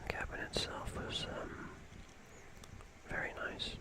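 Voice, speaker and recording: ASMR storyteller, soft whisper throughout, slow halting phrasing with long pauses. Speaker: ASMR host telling a personal story.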